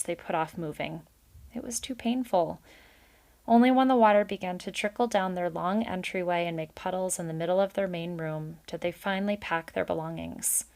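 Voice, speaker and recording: A woman reading a children's book aloud as a storyteller and commenting on it casually. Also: fairly low pitch.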